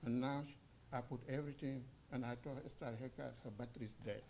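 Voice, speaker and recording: A man in his sixties with a Senegalese accent, speaking halting non-native English as he tells an informal anecdote in tribute. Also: medium pitch, steady tone, a little mellow, slight background noise. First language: a language of Senegal. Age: 60s. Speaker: mourner giving a tribute.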